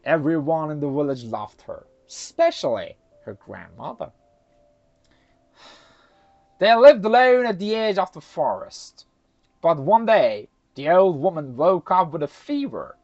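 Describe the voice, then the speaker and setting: Slow, lively storyteller, slightly muffled. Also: mid-range voice. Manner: halting, self-conscious English in chat. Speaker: storyteller and co-host. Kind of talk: casual chat and folktale narration.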